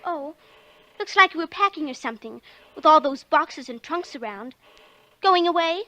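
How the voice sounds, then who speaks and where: A woman's voice: sits high, lively intonation, moderate pace, sharp tone, poor audio. A woman playing a plucky, inquisitive little girl with an artless, earnest manner in a 1940s radio suspense drama. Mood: innocent, probing.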